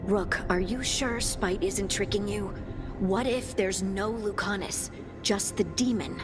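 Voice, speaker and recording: A skeptical, friendly companion from a video game, played by a woman. Her voice sits at a medium pitch and her manner is casual yet cautioning. Clear music runs underneath. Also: unhurried, close to an everyday voice. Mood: doubtful, cautioning. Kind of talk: video game character acting.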